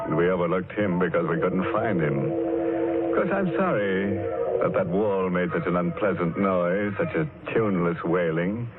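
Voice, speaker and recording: A man hosting a 1940s radio horror show with sardonic, mock-sinister, drawn-out delivery and macabre humour. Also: deep voice, animated. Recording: narrow-band 1940s radio with loud music.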